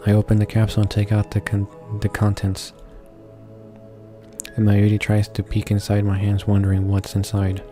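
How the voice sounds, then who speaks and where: A man with a fairly low voice reads a visual novel aloud for a casual gaming video. His delivery is extremely flat and a little halting, as he trips on words and corrects himself. Music plays clearly behind his voice. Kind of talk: casual read-along narration with asides.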